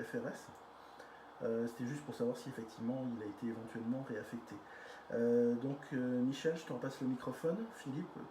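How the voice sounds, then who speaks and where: A man chatting casually as an amateur radio operator in a group exchange. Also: mid-range voice. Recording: roomy echo with faint noise.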